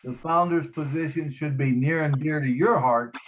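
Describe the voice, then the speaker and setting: A man's voice: fairly high pitch, normal speed. A man hosting a group call, easygoing and chatty, calling guests up to speak. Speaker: host. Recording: tinny phone-like line.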